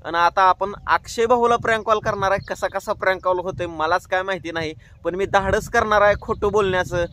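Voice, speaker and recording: A man's voice: sits high, fairly even intonation, a little fast, sharp tone. A man with a rural Maharashtrian accent, chatting colloquially and directly to viewers as host of a prank-call channel. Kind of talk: casual chatty vlog narration.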